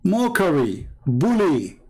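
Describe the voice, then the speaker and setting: A man's voice: sits high, wildly swooping pitch, slow, warm tone. Sarcastic man with an Arabic accent. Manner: mocking, scornful, sarcastic laughs. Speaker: online debater. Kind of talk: combative commentary while reading comments.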